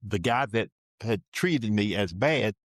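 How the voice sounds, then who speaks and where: An older man being interviewed, slowly and plainly telling the story of his working life and dropping his voice for asides. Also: fairly low pitch, animated, no echo. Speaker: interviewee recounting his career. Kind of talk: slow, deliberate personal storytelling.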